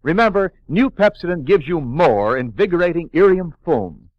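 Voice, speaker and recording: A man's voice: fairly high pitch, expressive, moderate pace, no room sound. An American man announcing in a polished, promotional 1940s radio style. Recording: muffled dry vintage radio recording.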